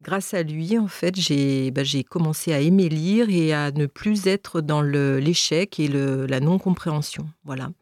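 A woman's voice: low voice, measured pace, hardly any pauses, darkish tone, no room sound, studio quality. A woman guest on a radio chat show, talking earnestly about reading and searching for her words. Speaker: radio guest, book club reader. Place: radio studio.

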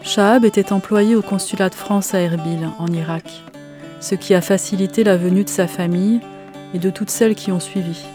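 A woman reading scripted documentary narration in a clear, even delivery. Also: mid-range voice, moderate pace, faint music behind.

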